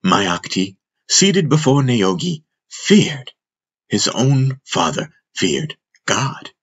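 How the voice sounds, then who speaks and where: A man narrating a fantasy audiobook as a dramatic storyteller, solemn and deliberate. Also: medium pitch, sing-song, husky, muffled recording.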